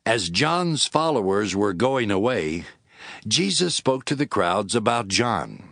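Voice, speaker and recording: A male narrator reads scripture from a script. His voice is fairly low with lively, varied intonation, recorded dry and close. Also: takes it slowly, dry recording.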